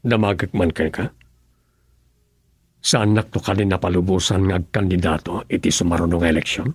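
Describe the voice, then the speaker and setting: An actor in an Ilocano radio drama playing an earnest male governor who speaks calmly and sincerely. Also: low-pitched, moderate pace, breathy edge, no echo. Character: earnest adult male governor devoted to public service.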